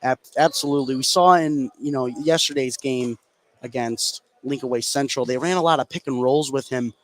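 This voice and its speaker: A man's voice: medium pitch, normal speed. A man working as a color commentator on a live basketball broadcast, explaining the play in a conversational way. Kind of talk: live sports analysis. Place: indoor basketball gymnasium.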